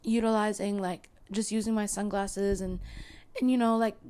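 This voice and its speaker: A young woman with a hoarse, failing voice, talking casually to her viewers with lots of fillers and restarts. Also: mid-range voice, steady tone, normal speed, darkish tone. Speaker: content creator. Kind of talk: casual chat to camera.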